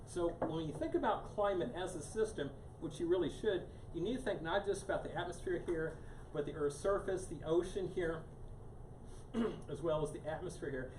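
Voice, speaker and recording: A man's voice: on the high side, normal speed, darkish tone. Man lecturing in a roomy hall. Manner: casual, repeats "here" constantly, many restarts. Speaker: guest speaker on climate science. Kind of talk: live public lecture to a small audience. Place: meeting room with a live audience. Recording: roomy, distant mic with background noise.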